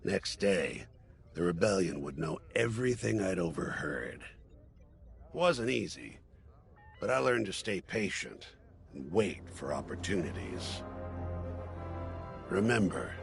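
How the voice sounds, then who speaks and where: An actor playing a shrewd, patient alien veteran in a space video game, talking in an unhurried, deliberate way. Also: male voice, low-pitched, gravelly, heavily acted.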